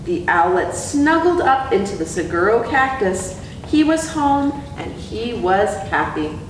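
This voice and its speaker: A woman working as an educator, giving a talk and storytime reading for children with gentle, deliberate delivery. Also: very animated. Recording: very echoey room, distant mic, faint rumble.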